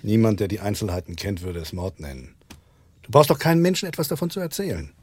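An actor playing a calm male friend who reassures the hero in a German radio play. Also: lowish voice, quick pace, husky, no echo. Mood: reassuring. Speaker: supporting role in a radio play. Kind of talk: 1980s German radio drama acting.

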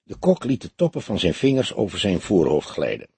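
Very husky male audiobook narrator. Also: low-pitched, huge pitch swings, a little fast, warm tone, no room sound. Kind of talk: expressive scripted crime story narration.

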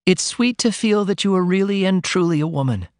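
A frank, innocent young woman, put on by a male reader, pours out her feelings in a raised, lively voice. She speaks earnestly and with feeling, like a storybook heroine. The sound is dry and close. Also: high-pitched, fairly quick, dry recording, pitched above the performer's own voice. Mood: earnest confiding. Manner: confiding, emotional, earnest. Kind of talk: audiobook character reading.

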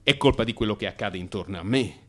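A man's voice: lowish voice, animated, fast talker. An actor playing an anxious married man in crisis, confessing to his analyst in an agitated, emphatic radio theatre monologue. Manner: agitated, emphatic, swinging from hushed mutter to outburst.

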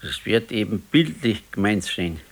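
Brooding old Bavarian husband. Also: male voice, lowish voice, big pitch swings, a little slow, gravelly, heavily acted. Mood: brooding regret. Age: 60s. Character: discontented, regretful ailing 68-year-old husband. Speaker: radio drama actor. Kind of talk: naturalistic 1970s radio play dialogue in dialect.

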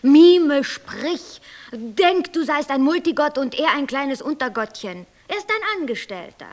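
An actress playing a coolly logical, bossy creator deity who lectures and commands in a poetic radio play. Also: female voice, high voice, sing-song, unhurried, a little hiss or hum. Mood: commanding. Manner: didactic, matter-of-fact, commanding.

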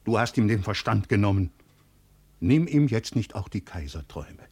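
An earnest, loyal country friend. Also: male voice, fairly low pitch, big pitch swings, normal speed, a little mellow, husky, heavily acted. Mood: sad reproach. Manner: earnest, grave, reproachful. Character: loyal, plain-spoken country man defending his friend. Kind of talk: 1950s German radio play acting.

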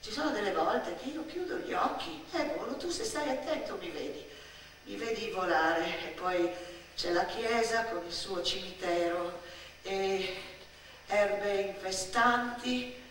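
Woman acting as dramatic brooding kid in a radio play, slow poetic phrases, bright edgy voice, big echoey space. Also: mid-range voice. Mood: dreamy excitement, then eerie wonder. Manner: poetic, deliberate, pausing between short phrases. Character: dramatic, brooding little girl recalling an abandoned villa. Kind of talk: theatrical radio monologue. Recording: echoey stage sound, distant, faint noise.

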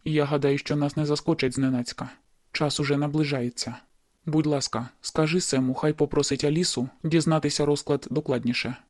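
A man voicing a calm, caring young man in an audiobook, who speaks quietly and picks his words carefully. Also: a little high, a little fast, no echo, clean studio sound, lightly acted. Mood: thoughtful. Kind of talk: audiobook dialogue.

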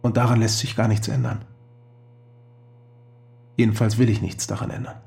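A man's voice: fairly low pitch, level tone, normal speed, gravelly, a little mellow. An actor playing an earnest, persuasive male friend in a radio drama.

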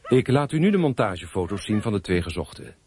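A man playing a formal television announcer in a radio drama, reading an appeal in a husky voice. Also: on the low side, very animated, fast, darkish tone, slight background noise.

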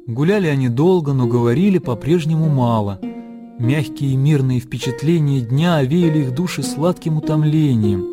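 A man narrating a literary radio play in a measured, composed storytelling manner. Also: mid-range voice. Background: music.

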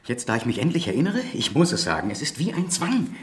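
An actor playing a haunted suspect in a German radio crime drama, confessing compulsively in halting, intense, dreamy recollection. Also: male voice, mid-range voice, sing-song, slight background noise, close to an everyday voice. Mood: agitated, compulsive.

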